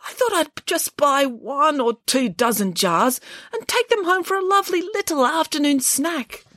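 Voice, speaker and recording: A woman's voice: sits high, animated, a bit bright, no room sound, clean studio sound, pitched above the performer's own voice. A woman voicing a huge, sensitive male bear who weeps and wails, in a storybook reading. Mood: earnest. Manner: tearful, drawn-out wailing. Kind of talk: storybook character voice.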